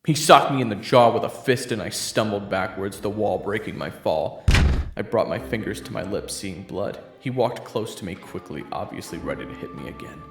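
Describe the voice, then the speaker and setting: Brief: male storyteller, lowish gravelly voice, echoey room, narrating to the listener. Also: expressive, moderate pace, soft background music. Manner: storytelling, addresses the listener as "you". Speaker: narrator and main character. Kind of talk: first-person audio roleplay narration. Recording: roomy echo.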